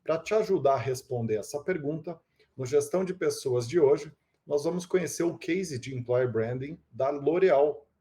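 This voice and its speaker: A man's voice: fairly high pitch, moderate pace, dark tone. A man hosting an interview podcast, reading a fluent opening and then chatting with his guest. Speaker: host.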